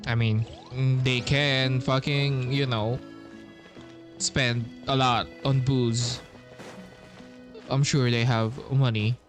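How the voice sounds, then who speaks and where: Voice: mid-range voice, level tone, takes it slowly, long pauses, over music. A game streamer talking casually and reading dialogue aloud during a playthrough.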